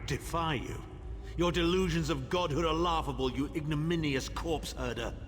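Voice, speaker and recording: An actor playing a scornful, defiant, pompous hero in a video game, with florid, haughty delivery. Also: male voice, a little high, moderate pace, big-room sound, slight background noise, close to an everyday voice. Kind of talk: dramatic video game acting.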